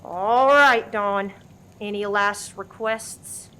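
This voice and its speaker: A woman's voice: mid-range voice, very slow, pushed and bright, clean tone, poor audio. A woman playing a tough, practical diner character in a comic radio play, blunt and commanding, with background noise.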